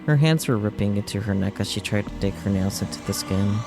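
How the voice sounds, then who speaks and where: Low-voiced man streaming, music behind. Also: fairly quick, gravelly. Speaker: streamer playing a visual novel. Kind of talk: reading game text aloud with casual commentary. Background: video game music.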